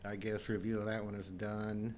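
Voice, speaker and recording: A man's voice: on the low side, level tone, moderate pace, a little mellow, slight background noise. A middle-aged man co-hosting a live show with casual, jokey, self-deprecating banter.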